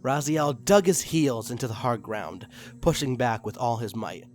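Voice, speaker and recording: A man's voice: mid-range voice, lively intonation, moderate pace. A man reading fan fiction aloud as a dramatic story narrator.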